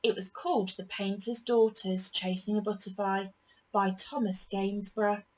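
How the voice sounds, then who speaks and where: A woman reading a children's picture book aloud as a storyteller, with deliberate, dramatic emphasis. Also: mid-range voice, level tone, darkish tone, low-quality recording.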